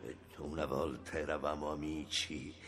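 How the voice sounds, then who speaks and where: An actor playing a meek, weary, loyal courtier in a radio drama, speaking in a deferential, hesitant way. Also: male voice, extremely low pitch, little pitch movement, moderate pace, a bit bright. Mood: meek, apologetic.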